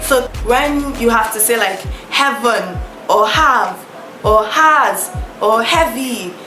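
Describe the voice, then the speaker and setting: Young Nigerian woman, vlogger, chatty and rambling, slightly high voice, echoey room with music. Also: animated, slow pace, pushed and bright. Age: young adult. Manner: chatty, full of fillers and self-corrections. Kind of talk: casual storytelling to camera. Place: small indoor room, seated before a plank backdrop.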